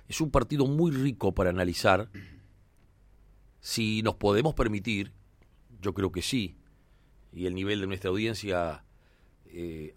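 Argentine guy hosting sports radio, lowish voice, dry close studio sound, lots of eh and este. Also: no room sound. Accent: Argentine Rioplatense Spanish. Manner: conversational, fills pauses with "eh" and "este". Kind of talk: sports radio talk and commentary.

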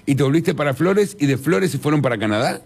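A man's voice: on the high side, animated, fast, vocal fry, a little mellow, slightly rough. A man with an Argentine Rioplatense accent interviewing over a video call, teasing and laughing often. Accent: Rioplatense Argentine Spanish. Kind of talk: casual interview.

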